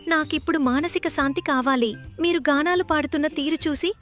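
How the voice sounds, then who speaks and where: A sly cartoon fox, acted out by a woman storyteller, speaks in a raised, high voice. The hungry trickster coaxes and pleads, playing sorrowful and reformed. Faint music plays underneath. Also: fairly quick, fairly bright tone. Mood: pleading, falsely sorrowful. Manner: pleading, coaxing.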